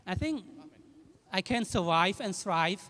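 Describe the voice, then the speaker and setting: A man in his thirties from Cambodia with a Khmer accent, giving a halting speech with fillers and self-corrections at an anniversary event. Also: sits high, lively intonation, sharp tone. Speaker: guest speaker. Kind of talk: public speech. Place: large indoor event hall. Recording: reverberant event hall.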